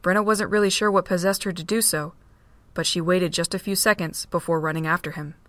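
A woman narrating a fan-made audio drama in a steady, plain reading voice. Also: lowish voice, a little fast, dry recording.

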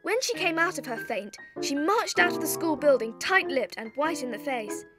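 A woman's voice: high-pitched, expressive, moderate pace. A woman with a British accent narrating a dramatized children's storybook. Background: music.

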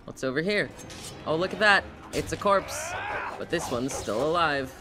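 A man's voice: on the high side, lively intonation, normal speed, music in the background. A man casually commentating on a game live, with playful, chatty asides to his viewers. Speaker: game streamer. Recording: clear background noise. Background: video game sound.

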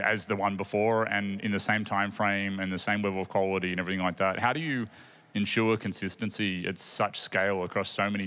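A man's voice: fairly low pitch, sharp tone. A man in his forties hosting a conference stage panel on a handheld mic, talking in an eager, chatty way with many restarts. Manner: chatty, restarts and fillers, enthusiastic. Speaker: panel host. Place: large conference stage before an audience.

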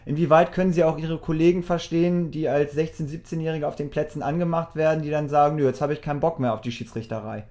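Male presenter, slightly high voice, articulate and formal, delivering prepared interview questions. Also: fairly even intonation, fast, few pauses, dark tone.

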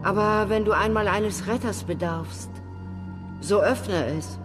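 A man's voice: high-pitched, over music. An actor playing an aged, sorrowful, motherly wise woman in a radio drama, speaking solemnly like an oracle. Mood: gentle, grave. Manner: slow, solemn, oracular. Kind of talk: literary radio drama acting.

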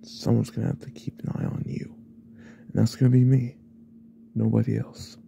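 A man playing a possessive, obsessive young classmate in an ASMR roleplay, speaking in a hesitant, breathy half-whisper. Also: mid-range voice, little pitch movement, moderate pace, slight background noise. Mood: protective, possessive. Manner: hesitant, hushed, probing.

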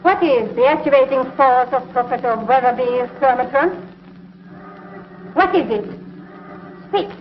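A woman's voice: sits high, a little slow, pauses often, a little hiss or hum. An actress playing an imperious, menacing space queen in a 1950s television sci-fi serial, commanding and theatrical. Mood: menacing, demanding. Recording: muffled old TV soundtrack with music.

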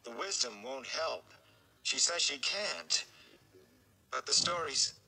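A man voicing an anxious young farm man in a storybook reading, halting, urgent and pleading. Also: mid-range voice, animated, creaky, bright, forceful tone, pitched above the performer's own voice, full character voice, rough recording.

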